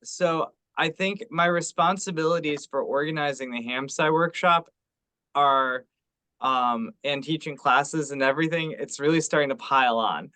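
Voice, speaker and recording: A man's voice: on the high side, moderate pace, bright, forceful tone. A man talking casually in an online meeting, explaining things as an organizer and teacher. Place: remote teleconference call.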